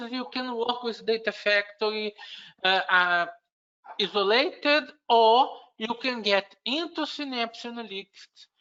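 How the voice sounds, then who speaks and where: A man with a Brazilian Portuguese accent walks a remote audience through a technical topic, pausing often with "uh" and restarting phrases. He talks slowly, and his voice has a bright, forward edge. The recording is a bit dull and lo-fi. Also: high-pitched. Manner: unhurried, halting explanation with frequent "uh" fillers and restarts. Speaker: conference speaker. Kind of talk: technical conference presentation.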